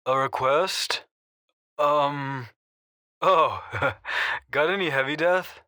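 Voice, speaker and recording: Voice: mid-range voice, very slow, sharp tone, dry recording, studio quality. An actor playing a dazed, easygoing slacker phoning a radio show in an audio drama, slangy and hesitant. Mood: dazed, pleased.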